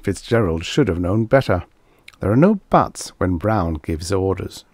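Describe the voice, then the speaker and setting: A man narrating a scripted short story in an expressive, clearly phrased manner, close to the microphone. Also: low-pitched, unhurried, no echo. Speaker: audiobook narrator.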